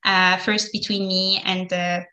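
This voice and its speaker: A young woman from Morocco talks through her answers as an interview guest, stopping often with small fillers as she thinks. Her voice is bright and forward, at a middle pitch for a woman. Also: little pitch movement, measured pace. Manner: thoughtful, with frequent ums and likes.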